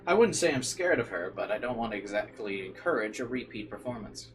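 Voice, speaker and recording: A man's voice: lowish voice, lively intonation, fairly quick, soft background music. A man reading visual novel narration aloud as a streamer, dropping into informal side comments and occasionally stumbling over words.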